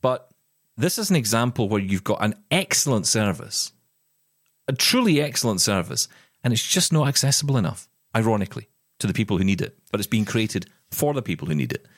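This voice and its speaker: A middle-aged man with a low voice that swings up and down widely as he presses his points in a fired-up rant. He sounds close and dry on the microphone, as in casual podcast chat. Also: big pitch swings, brisk, dry recording. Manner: impassioned, ranting, emphatic. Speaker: podcast co-host.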